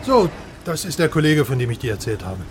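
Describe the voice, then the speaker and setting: An actor playing an East German go-between who talks casually and briskly in a radio crime drama. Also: male voice, medium pitch, sing-song, noisy background, close to an everyday voice. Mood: casual, furtive. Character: brisk East German acquaintance arranging a secretive meeting.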